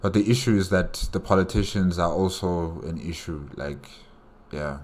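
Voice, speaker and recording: Reaction host: male, low pitch, casual and opinionated, thinking aloud with some hesitation. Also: fairly even intonation, normal speed, darkish tone. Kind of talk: casual off-the-cuff commentary.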